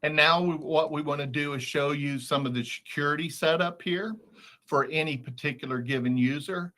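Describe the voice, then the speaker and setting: A man giving a step-by-step, matter-of-fact software walkthrough as a support trainer on a video call. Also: on the high side, normal speed. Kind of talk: screen-share software walkthrough, instructional.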